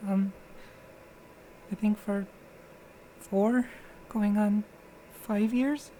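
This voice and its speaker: A woman playing a distressed, defensive murder suspect under questioning in an audio drama, speaking haltingly. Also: medium pitch, steady tone, mellow, a little hiss or hum, poor audio. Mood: nervous, defensive. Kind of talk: dramatic interrogation acting.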